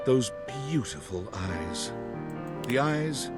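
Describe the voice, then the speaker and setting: An actor reading the ending narration of a video game in a measured, expressive way. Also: male voice, medium pitch. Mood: tender. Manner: measured, expressive delivery with lingering emphasis. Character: calm adult male storybook narrator. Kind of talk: scripted epilogue narration. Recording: game audio over loud music.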